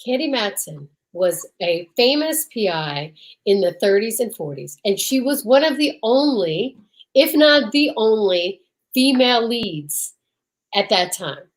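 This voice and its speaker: A woman's voice: on the high side, big pitch swings, measured pace, low-quality recording. A woman co-hosting an old-time radio podcast, chatting casually and introducing the show in a gushing, theatrical way.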